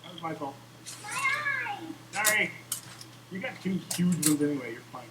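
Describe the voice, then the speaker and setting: A man's voice: fairly high pitch, expressive, normal speed, recorded from a distance. A man co-hosting a livestream with casual, wry, joking banter and repetitive clowning, on noisy audio. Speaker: co-host of a live game preview stream.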